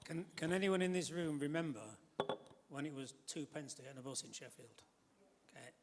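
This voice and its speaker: A man's voice: on the high side, fairly quick, big-room sound, a little hiss or hum. A man from Leeds, moderating a symposium panel in a chatty way and often repeating words. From Leeds, England.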